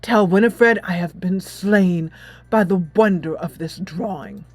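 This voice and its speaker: A woman's voice: mid-range voice, measured pace, dry recording, faint music behind, natural voice. Woman doing a theatrical, boastful schoolboy reenactor. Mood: melodramatic. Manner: declamatory, theatrical.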